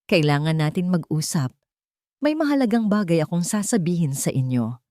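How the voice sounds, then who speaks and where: A woman's voice: lowish voice, sing-song, normal speed, darkish tone, no echo. Woman voicing a firm, earnest young businessman, dry sound. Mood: serious. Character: determined, devoted young businessman in a romance drama.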